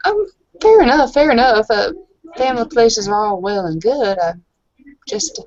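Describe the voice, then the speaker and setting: A woman's voice: on the high side, sing-song, moderate pace, darkish tone, muffled recording. A woman improvising casual in-character roleplay talk as a blunt, curious bar-goer, rambling and slangy. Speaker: tabletop roleplay player.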